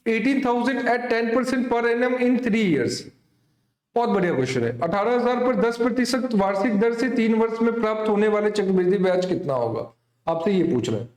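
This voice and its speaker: A man's voice: extremely high pitch, a little mellow, roomy sound, low-quality recording. A man teaching an exam coaching maths lesson, often checking in with students through short tag questions. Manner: repetitive tag questions, addressing students directly. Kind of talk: exam coaching lesson, explaining worked problems.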